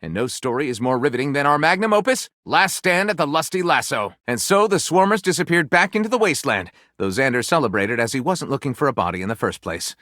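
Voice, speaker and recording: Flamboyant, pompous storyteller with wild swoops. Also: male voice, mid-range voice, lively intonation, fast talker, few pauses, sharp tone, no room sound, clean studio sound. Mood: excited, pompous. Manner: grandiose, melodramatic narrator delivery with gushing exclamations. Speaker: voice actor playing a game character. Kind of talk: theatrical storytelling, video game voice lines.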